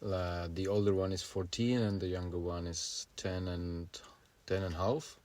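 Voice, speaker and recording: A man in his forties with a Czech accent, a native Czech speaker, co-hosting a casual podcast and pausing often to find his words. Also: deep voice, flat delivery, a little mellow. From Czech Republic. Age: 40s. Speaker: podcast co-host.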